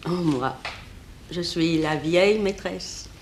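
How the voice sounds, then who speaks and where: An actress playing a worldly, sorrowful older mistress of a Roman emperor, grave and theatrical, in a mid-century French radio play. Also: female voice, lowish voice, takes it slowly. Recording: old radio recording, faint noise.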